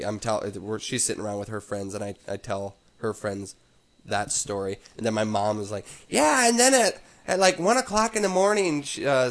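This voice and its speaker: A young man with a stuffed-up nose, telling rambling stories to friends, swearing and laughing as he goes. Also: fairly low pitch. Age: young adult. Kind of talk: casual chat among friends.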